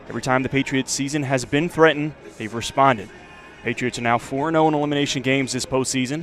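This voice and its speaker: A man's voice: mid-range voice, a bit bright. American man, polished sports broadcast opener. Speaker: play-by-play broadcaster. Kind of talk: scripted sports broadcast introduction.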